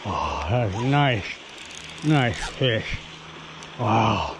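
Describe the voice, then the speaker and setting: A man's voice: on the low side, big pitch swings. A young American man chatting casually to himself in short exclamations while fishing outdoors on a river. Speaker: angler and fishing vlogger. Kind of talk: casual off-the-cuff fishing vlog chat. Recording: loud rushing river water noise outdoors.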